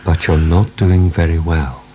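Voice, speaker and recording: An actor playing a calm, needling male philosopher, measured and lecturing, in a radio drama. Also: deep voice, a little hiss or hum, rough recording. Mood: needling, reasoning.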